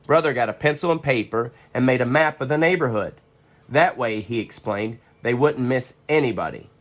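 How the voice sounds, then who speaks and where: A storybook reader giving expressive scripted narration for children. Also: mid-range voice, normal speed.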